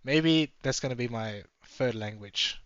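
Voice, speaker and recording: A man's voice: mid-range voice, a little slow, fairly bright tone, no room sound. Man, travel vlog car commentary, easygoing and rambling, dry dull-ish sound. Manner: chatty, musing aloud, easily sidetracked. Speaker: travel vlogger. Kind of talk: casual running commentary from a car. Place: inside a moving car.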